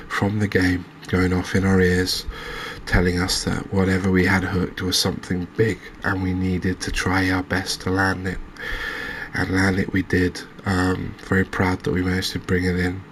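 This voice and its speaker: A soft-spoken man narrating a gaming recap in a calming, hesitant ASMR style. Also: low voice, measured pace, slight background noise. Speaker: host of a cozy gaming channel.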